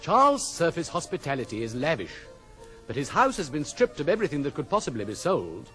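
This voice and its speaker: A man's voice: on the high side, sing-song, fairly quick, fairly bright tone, soft background music. A man narrating a stage play as a scripted storyteller.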